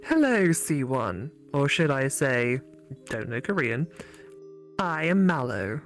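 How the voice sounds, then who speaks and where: A mysterious spirit girlfriend from a visual novel, acted out by a woman. She speaks slowly and deliberately, with a possessive, otherworldly calm. Soft music plays faintly behind her. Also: a bass voice, heavily acted. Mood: coolly possessive. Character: possessive female phone-dwelling spirit girlfriend, slow and mysterious.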